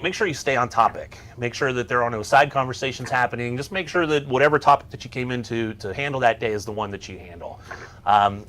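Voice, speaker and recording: A man's voice: medium pitch, fairly bright tone. A man co-hosting a workplace tech show, giving semi-scripted tips in a casual, instructional way with some bantering asides.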